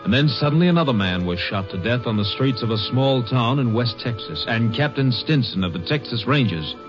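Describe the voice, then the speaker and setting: A man's voice: medium pitch, lively intonation, a little fast, muffled recording. An American man giving scripted narration for a 1950s radio drama on an old recording. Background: music.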